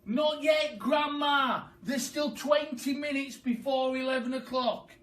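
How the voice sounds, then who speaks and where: A man voicing a mischievous, excitable young boy from a storybook, with an eager, scheming manner. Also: very high voice, unhurried, pitched above the performer's own voice. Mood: placating. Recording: far from the mic, noisy background.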